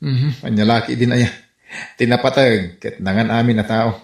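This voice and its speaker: A woman's voice: very deep voice, normal speed, vocal fry. A woman playing a disapproving village woman who shushes gossip in an Ilocano comedy radio drama, with an Ilocano accent. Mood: scolding. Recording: echoey, loud music underneath. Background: loud music.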